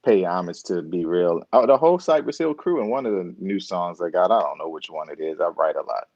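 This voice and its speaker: A man's voice: low-pitched, expressive, fairly quick, darkish tone, dry recording. Laid-back man on a dull, dry line. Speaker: guest. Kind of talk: casual interview chat.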